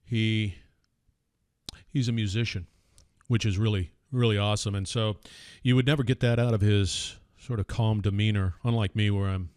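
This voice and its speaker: A man hosting an industrial podcast, introducing a guest in a big, energetic voice full of fillers and restarts. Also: on the low side, normal speed, clear, resonant tone, no echo, clean studio sound. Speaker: podcast host. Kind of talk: casual podcast intro and interview.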